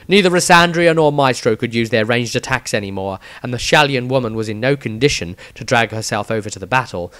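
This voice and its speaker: A man's voice: mid-range voice, a bit bright, no echo. A man reading a fantasy story as a scripted narration, with a theatrical, emphatic delivery. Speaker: author reading his own story.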